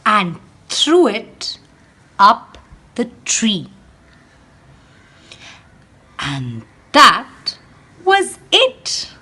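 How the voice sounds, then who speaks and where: Woman teacher reading a storybook to kids, bright husky voice, very slow and expressive. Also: a little high. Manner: speaks directly to young listeners. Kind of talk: reading a picture book aloud to children.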